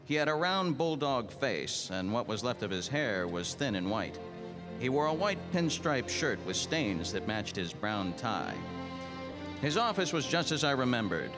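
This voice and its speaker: An actor playing a world-weary ex-cop detective, terse and cynical, in the style of 1940s noir radio. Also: male voice, mid-range voice, sing-song, a little slow. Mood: brooding, wry. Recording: music under the voice.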